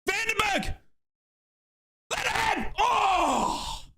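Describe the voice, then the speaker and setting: Shouting, high-voiced excitable male fan. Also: drawn-out words, creaky. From Australia. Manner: excitable, yelling, cheering. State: hoarse. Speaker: football watchalong streamer.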